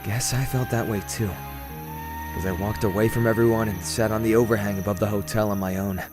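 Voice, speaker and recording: A man's voice: on the low side, fairly even intonation, fairly quick, over music, lightly acted. Man playing a young, weary, self-doubting prince. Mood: weary recounting. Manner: hesitant, casual, brooding. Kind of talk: audio drama acting and first-person narration.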